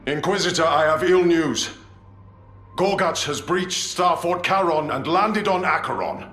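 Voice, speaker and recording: Echoey, solemn space warrior commander. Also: male voice, fairly high pitch, a little slow, pushed and bright. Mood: urgent. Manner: solemn, formal, declarative. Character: dutiful, resolute male commander of space warriors. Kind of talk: video game cutscene acting. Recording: echoey, faint music behind.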